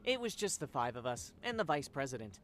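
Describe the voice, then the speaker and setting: An actor playing an enthusiastic, dramatic young male student in a video game, excitable in manner. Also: mid-range voice, a little fast, slight background noise, lightly acted. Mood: shaken, dramatic.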